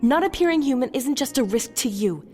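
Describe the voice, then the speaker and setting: Woman playing a stern, highish-voiced official. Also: animated, fairly quick, a little mellow, soft background music. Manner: firm, formal, clipped authority. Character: brisk, stern, overworked female deputy mayor. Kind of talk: fan-made audio drama.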